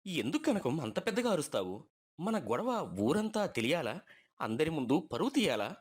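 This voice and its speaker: A man's voice: a little high, big pitch swings, brisk, breathy edge. A man voicing a poor, patient village husband who pleads and reasons with hurt reproach in a moral story. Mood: hurt, reproachful.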